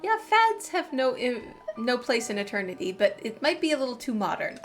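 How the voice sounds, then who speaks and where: High-voiced woman streaming a game. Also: sing-song, moderate pace. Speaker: gaming streamer. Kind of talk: casual game commentary and reading dialogue aloud. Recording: game music under the voice.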